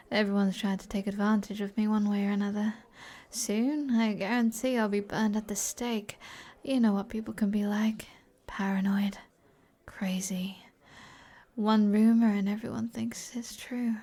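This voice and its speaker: An alluring fantasy sorceress, played by a woman with a British accent speaks slowly and softly in an intimate ASMR roleplay. Her voice is breathy and often near a whisper, medium in pitch. She is flirty and teasing, taking her time over each phrase. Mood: wry, coy.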